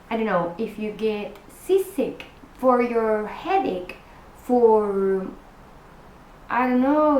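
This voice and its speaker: A woman from Buenos Aires, a native Spanish speaker with an Argentine accent, talks viewers through her packing tips in a chatty, roundabout way. Her voice sits a little high and she takes her time, in a room with a noticeable echo. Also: a little mellow, a little hiss or hum. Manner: chatty, restarts and self-corrections, direct address to viewers. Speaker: cruise vlogger. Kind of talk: casual packing-tips vlog.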